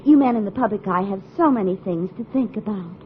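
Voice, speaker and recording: An actress playing a coy, easily impressed lady in a 1950s radio comedy, polite and flattering. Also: mid-range voice, quick pace, close to an everyday voice. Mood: admiring. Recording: tinny, noisy old radio show with audience.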